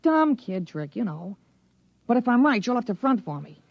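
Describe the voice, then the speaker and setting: Actor as a high-voiced, precocious young boy. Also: very animated, moderate pace, gravelly, dry recording, lo-fi sound, exaggerated voice. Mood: confident. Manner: earnest, precocious, articulate. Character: clever, self-assured thirteen-year-old boy, lawyer's son. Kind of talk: 1950s radio drama acting.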